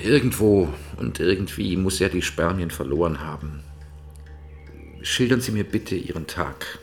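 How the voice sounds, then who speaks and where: An actor playing a sceptical private detective, polite but probing, in a German radio drama. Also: male voice, low-pitched, a little slow.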